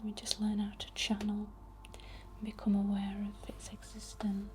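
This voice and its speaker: A woman softly whispering a guided ASMR healing ritual in a breathy, gentle and soothing manner, often repeating words. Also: medium pitch, completely flat delivery, normal speed.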